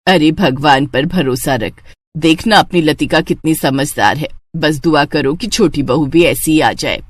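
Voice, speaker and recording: A woman's voice: sits low, unhurried, voice pushed down. A woman voicing a thrifty older mother-in-law in a moral story cartoon, watchful and fond of nagging household advice. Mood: motherly.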